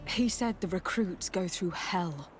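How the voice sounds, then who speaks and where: An actress playing a composed, diplomatic noblewoman investigator, speaking calmly and with measured words in video game dialogue. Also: lowish voice, a little hiss or hum. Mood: grave. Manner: calm, measured, probing.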